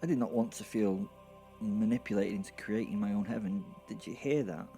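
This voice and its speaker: A man's voice: on the low side, brisk, dark tone, soft background music, natural voice. A man playing an irreverent, foul-mouthed werewolf who narrates an audio drama with hesitant, rambling, wry asides. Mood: sardonic. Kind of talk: first-person horror comedy audio drama narration.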